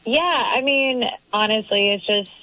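A woman's voice: a little high, unhurried, pushed and bright, no room sound. A female singer calling in by phone, chatty and upbeat, laughing easily. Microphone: phone line. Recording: dull phone line.

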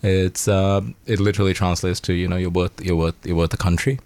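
Deep-voiced man, casual and rambling. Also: flat delivery, fairly quick, darkish tone. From Sri Lanka. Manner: rambling, swears a lot, laughs often. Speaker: band member guest. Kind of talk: casual radio interview chat.

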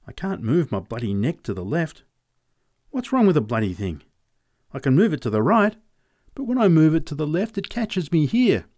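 Man voicing a gruff farmer. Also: on the high side, very animated, normal speed, a little mellow, dry recording, clean studio sound, pitched above the performer's own voice. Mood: grumbling. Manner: gruff, swearing, complaining. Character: rough, hard-drinking adult male farmer, blunt and boastful.

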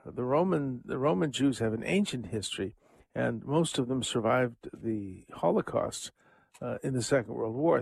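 Older male priest-host, raised gravelly voice, dry close mic, digressive chatty lecture. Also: fairly high pitch, dry recording. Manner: rambling, digressive, frequent fillers and throat clearing. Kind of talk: informal talk on scripture and history.

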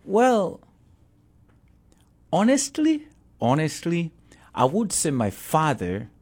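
A man's voice: a little high, huge pitch swings, slow pace, darkish tone. A middle-aged man with a Ugandan accent, a pastor talking as a guest in an interview, earnest and prone to repeating himself.

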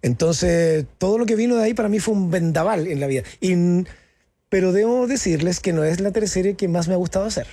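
A man's voice: sits high, quick pace, mellow, dry recording. A middle-aged Chilean man, an actor speaking candidly in an interview, loose and slangy, often restarting his sentences.

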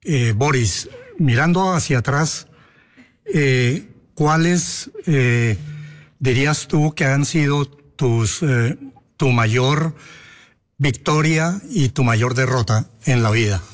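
A man, asking a question as an audience member in a halting, hesitant way, in a reverberant hall. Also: medium pitch. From Bogotá, Colombia.

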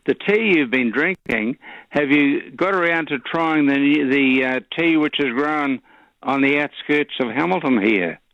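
A man calling in to a talkback radio show, polite and chatty with a gentle pun. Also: a little high, unhurried, no echo. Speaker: caller. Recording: dull phone-line caller audio.

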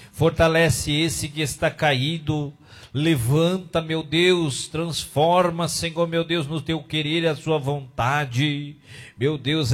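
Guy praying out loud real slow, keeps saying lord my god, kinda high voice, soft music under it. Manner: slow, repetitive invocations of God between phrases. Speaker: pastor leading prayer on radio and online. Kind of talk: fervent intercessory prayer. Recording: faint music behind the voice.

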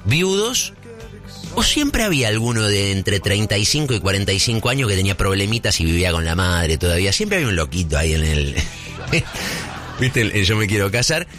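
A man in his forties with a Buenos Aires accent, delivering a casual, rambling comic monologue as if thinking out loud. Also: fairly low pitch, sing-song, fairly quick, faint music behind. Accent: Rioplatense Spanish, Buenos Aires. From Buenos Aires, Argentina. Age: 40s. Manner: rambling, conversational, seeks agreement with tag questions.

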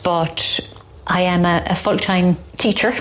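A woman's voice: fairly low pitch, fairly even intonation, unhurried, a little hiss or hum. Chatty Irish woman, rambling. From Ireland. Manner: chatty, rambling, laughs easily. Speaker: teacher and singer, guest. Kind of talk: casual radio interview.

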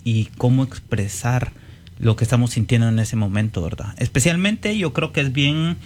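A man's voice: mid-range voice, expressive, normal speed, slight background noise. A man with a Guatemalan accent, speaking conversationally as a guest painter introducing himself on a panel.

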